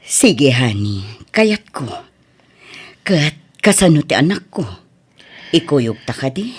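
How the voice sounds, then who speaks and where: A woman with an Ilocano accent playing a humble, soft-hearted middle-aged mother in an audio drama. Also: low voice, big pitch swings, slow pace, breathy edge, heavily acted. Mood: tender, content.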